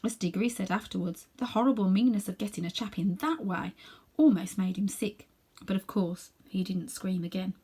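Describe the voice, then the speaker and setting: A woman reading a children's novel aloud as an expressive storyteller. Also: mid-range voice, normal speed, slightly rough. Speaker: storybook reader.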